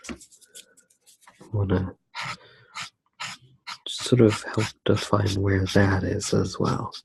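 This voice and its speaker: A man speaks in a low, very breathy and husky voice, hushed and close to the microphone. He explains a drawing step by step as ASMR, slowly and with little rise or fall in pitch. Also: dry recording, rough recording. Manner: soft-spoken, hushed, unhurried. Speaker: ASMR artist narrating a drawing.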